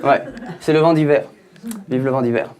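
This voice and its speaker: A man's voice: mid-range voice, fairly even intonation, moderate pace, a crowd in the background. A man from France doing a stand-up routine on a TV show stage, chatty and teasing the audience. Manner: jokey, conversational, teasing the audience. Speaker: stand-up comedian. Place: TV studio stage with live audience. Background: audience laughter.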